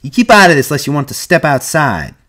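A man's voice: on the high side, very animated, fast talker, fairly bright tone, voice pushed up. A man voicing a small, hot-tempered ranch boss's son, blustering and combative in rustic speech. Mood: enraged.

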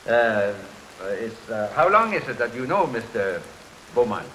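An old missionary doctor from an early talkie, kindly but doubtful. He halts and rambles in a bright, lively voice. The old soundtrack hisses, with rain behind it. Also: medium pitch, measured pace, rough recording. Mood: fussy, hesitant. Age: older. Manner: rambling, hesitant, punctuated with stammers. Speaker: film actor. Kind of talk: early 1930s film acting. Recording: hissy 1932 film soundtrack, rain behind.

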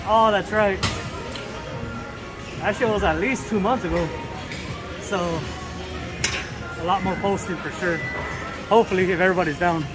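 A man's voice: high voice, steady tone, moderate pace, long pauses, over music, poor audio. A man vlogging casually with a friend in a large gym, joking and swearing, laughing often.

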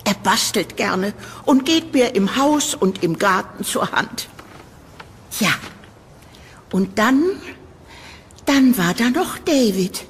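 Creepy sweet old landlady, audio drama, halting and doting, really swoopy pitch, some noise behind. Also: animated. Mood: sweetly eerie. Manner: theatrical, halting, doting, with nervous chuckles. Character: eccentric old landlady, sweetly eerie and gushing. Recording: reverberant room, background noise.

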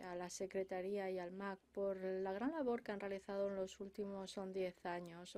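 A woman interpreting conference speeches simultaneously from a booth, halting often with filler sounds and restarts. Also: medium pitch, a little mellow.

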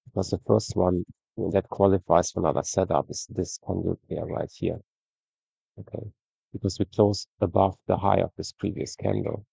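A man with a very low, heavily gravelly voice talks through trading charts in an easy, conversational way. His tone is husky and dark, and he often tags his points with a questioning "right?". The recording is slightly dull and lo-fi. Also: steady tone, moderate pace, no room sound. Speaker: trading educator. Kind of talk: screen-share trading review.

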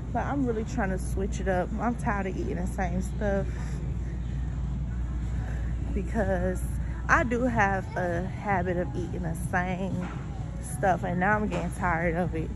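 A young American woman vlogger talking informally and thinking aloud, chatting to the camera as she shops. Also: medium pitch. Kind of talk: casual chat to camera while shopping. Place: inside a busy grocery store. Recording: noisy store ambience throughout.

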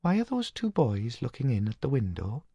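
A man's voice: medium pitch, wildly swooping pitch, normal speed, mellow, slightly rough, no room sound, voice pushed up, heavily acted. A man voicing the ghost of a young Welsh woman, proud, wistful and dreamy, in a storybook reading. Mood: dreamily proud.

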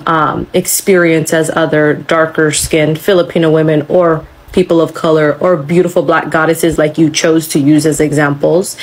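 A young adult woman speaks straight to camera with a somewhat low, husky voice. She is blunt and scolding, swearing freely as she addresses someone in a social media response. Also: rough recording. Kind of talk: direct-to-camera video response.

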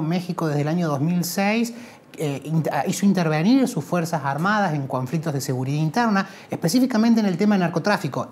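A man's voice: fairly high pitch, a little mellow. A man in his thirties with an Argentine accent, giving earnest, argumentative interview answers in an indoor room. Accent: Argentine Spanish. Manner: earnest, argumentative, rising emphasis on key points. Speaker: lawyer and researcher, interviewee.

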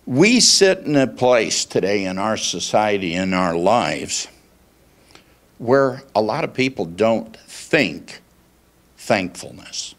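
Slow, low-voiced older male pastor. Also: very animated. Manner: deliberate, pausing phrases. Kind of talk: conversational sermon with questions to congregation. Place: small church meeting room, indoors. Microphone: handheld microphone held at chest.

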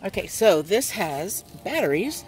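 A woman's voice: medium pitch, big pitch swings, unhurried. A woman giving a casual unboxing review outdoors, talking into a handheld camera and piecing her explanations together as she goes. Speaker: toy review channel host, mother. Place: outdoors on a wooden step beside a house. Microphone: handheld phone or camera close by. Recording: noisy handheld outdoor recording.

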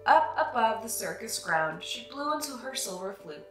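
Animated young woman storyteller, bright voice. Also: on the high side, normal speed, echoey room, soft background music. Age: young adult. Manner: animated, dramatic phrasing. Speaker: children's read-aloud host. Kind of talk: expressive picture-book storytelling.

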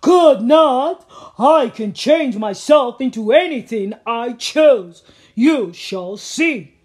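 A huge storybook ogre, boastful and loud, booming his claims, as a woman puts him on. His voice comes across as coming from further away. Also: animated, takes it slowly.

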